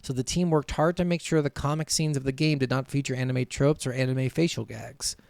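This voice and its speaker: A man's voice: medium pitch, steady tone, fairly quick, creaky, a little mellow, no echo. A man co-hosting a podcast, chatting informally with plenty of swearing and commenting on his notes as he goes. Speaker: podcast co-host. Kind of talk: casual chat, reading notes and commenting.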